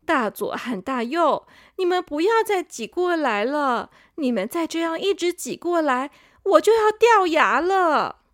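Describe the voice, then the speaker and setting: Scolding big-sister tooth done by a woman narrator, high and worried. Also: big pitch swings, unhurried, few pauses, a bit bright, dry recording, studio quality, exaggerated voice. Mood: fretful. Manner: fretful, scolding.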